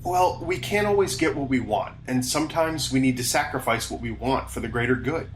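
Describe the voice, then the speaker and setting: Dutiful young officer: very low voice, animated pitch, firm and clipped, noisy surroundings. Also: female voice, brisk. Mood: stubborn, stern. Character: dutiful, earnest, stubborn young security officer. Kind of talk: audio drama acting. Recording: clearly noisy ambience behind voice.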